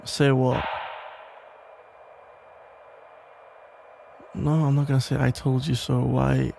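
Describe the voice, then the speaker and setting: A man playing a protective, worried police officer and ex-boyfriend in an ASMR audio roleplay, talking in a halting, casual, gently exasperated way with a gravelly voice. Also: mid-range voice, completely flat delivery, a little hiss or hum. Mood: exasperated, earnest. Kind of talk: ASMR roleplay, conversational one-sided acting.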